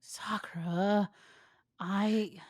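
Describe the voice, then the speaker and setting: A woman's voice: mid-range voice, steady tone, drawn-out words, background noise, pitched above the performer's own voice. A nervous teenage football player played by a woman in an acted story reading. He pleads with whiny desperation as a guilty boyfriend, in a raised, urgent voice. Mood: pleading.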